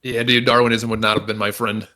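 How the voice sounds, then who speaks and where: A man's voice: on the low side, level tone, fast, bright, forceful tone. A young man on a podcast telling stories about himself, rambling with many fillers and poking fun at himself. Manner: rambling, lots of likes and dudes, self-mocking. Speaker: podcast co-host. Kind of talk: casual storytelling chat.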